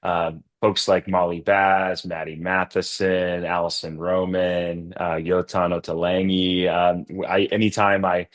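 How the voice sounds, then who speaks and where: A middle-aged man from Massachusetts, talking casually as a podcast guest, relaxed with lots of fillers and restarts. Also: deep voice, a little slow, hardly any pauses. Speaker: podcast guest, coffee company co-founder. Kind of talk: casual interview chat.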